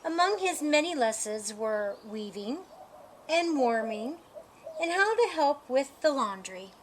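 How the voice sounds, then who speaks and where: A woman reading a children's picture book aloud as a storyteller, with an expressive, deliberate storytime delivery. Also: high voice, slight background noise. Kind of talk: scripted children's story narration.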